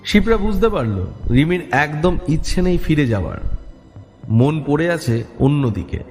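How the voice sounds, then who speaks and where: A man's voice: fairly high pitch, big pitch swings, a little slow, a little mellow. A man narrating a horror story in a dramatic, atmospheric way. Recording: long reverb, music underneath. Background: music.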